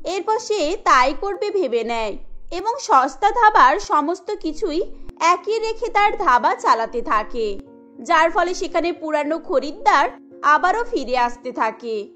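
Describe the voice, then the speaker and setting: A woman narrating a cartoon story in a steady storytelling manner. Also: very high-pitched, unhurried, soft background music.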